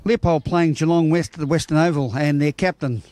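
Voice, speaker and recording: A man's voice: a little high, steady tone, brisk, a little mellow, no echo. An Australian man working as a sports reporter, interviewing for local television in formal, wordy broadcast phrasing.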